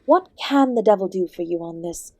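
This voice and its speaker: A woman's voice: on the low side, lively intonation, moderate pace, dark tone, no echo. A woman playing the Devil in an audio roleplay as a charming, sardonic, ancient hostess who speaks to the listener with genteel, theatrical courtesy. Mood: soft, sympathetic, wicked. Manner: genteel, teasing, theatrical, calling the listener "my dear". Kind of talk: scripted audio roleplay, addressing the listener directly.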